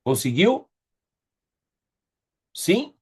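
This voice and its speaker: A man's voice: high-pitched, big pitch swings, very slow, a little mellow, slightly rough. A Brazilian man facilitating an online class, giving informal, directive instructions and checking in with participants.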